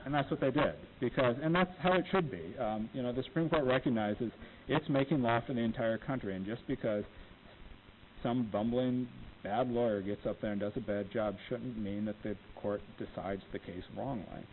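A man with a low voice that he says is not dynamic, giving an informal talk to law students from a podium microphone, unhurried and full of ums. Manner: unhurried, many ums, plainspoken. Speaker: lecturer on appellate oral argument. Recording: tinny phone-like audio, faint hiss.

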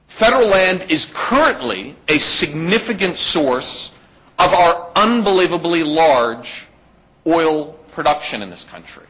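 Loud, emphatic man with a bright voice. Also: on the high side. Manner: emphatic, with rhetorical "right?" checks. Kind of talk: live policy talk to an audience. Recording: dull lo-fi recording.

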